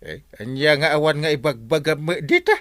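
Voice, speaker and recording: A man's voice: on the high side, brisk, a bit bright, no room sound, natural voice. A man acting in an Ilocano radio drama, playing a lazy, grumbling village captain and husband. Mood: lazy, dismissive.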